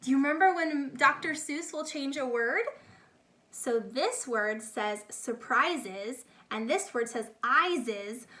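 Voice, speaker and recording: A woman reads aloud to young children in a high, bright voice. Her delivery is slow and deliberate but animated, like a teacher sharing a picture book and pausing to explain things to her listeners.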